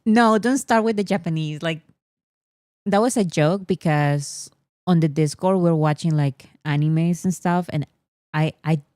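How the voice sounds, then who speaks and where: A young woman whose first language is Spanish chats casually with her audience in a low, mellow voice. She teases and brags in jest, laughing often, recorded dry and close. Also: a little slow, dry recording. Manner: playful, teasing, mock-boastful, laughs often. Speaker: host. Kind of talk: casual livestream chat reading comments.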